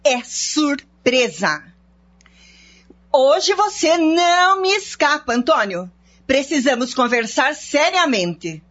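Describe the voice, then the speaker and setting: A resentful, nagging housewife for a radio soap. She complains in a high, very lively voice, stretching her words out, on slightly dull audio. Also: takes it slowly, heavily acted. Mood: curt, stern. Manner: bitter, complaining. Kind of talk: melodramatic radio soap acting.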